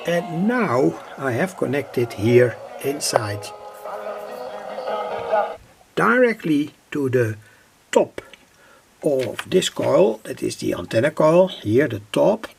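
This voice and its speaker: A man giving an unscripted technical demonstration as a hobby electronics presenter, halting and explanatory, with a husky, slightly gravelly voice. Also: medium pitch, a little hiss or hum. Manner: halting, explanatory, with frequent pauses and repeated phrasing.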